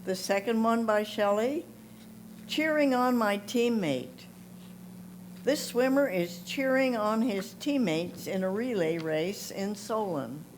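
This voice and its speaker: A woman's voice: mid-range voice, slow pace. A woman reading prepared photo comments aloud at a photography club presentation. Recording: clear hum and background noise.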